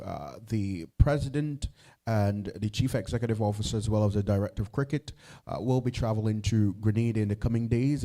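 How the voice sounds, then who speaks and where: A man's voice: fairly low pitch, warm tone. Barbadian man moderating a press conference. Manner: polite, measured, with frequent fillers. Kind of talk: formal press briefing.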